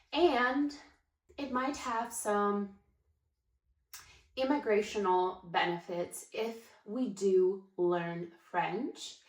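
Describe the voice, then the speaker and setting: Slow-talking woman vlogging. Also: mid-range voice. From Ukraine. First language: Ukrainian. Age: late 20s. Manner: chatty, thinking aloud with fillers and restarts. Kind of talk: casual chat to camera. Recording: echoey room.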